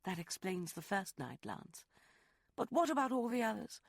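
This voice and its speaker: A man voicing a proud, hurt young woman in an audiobook, pleading and sharp. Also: high-pitched, big pitch swings, moderate pace, gravelly, dry recording, clean studio sound, voice pushed up, exaggerated voice. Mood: accusing. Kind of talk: audiobook character voice.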